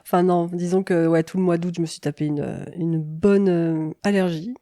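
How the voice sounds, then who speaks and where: A woman in her forties chatting casually on a podcast, relaxed and joking. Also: fairly low pitch, moderate pace, no echo. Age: 40s. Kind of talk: casual chat.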